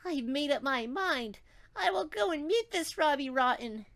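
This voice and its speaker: A man's voice: very high voice, lively intonation, normal speed, gravelly, very noisy, pitched above the performer's own voice, full character voice. A man voicing a prim, dainty young woman with a melodramatic, earnest manner in a comic story reading. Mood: earnest, determined.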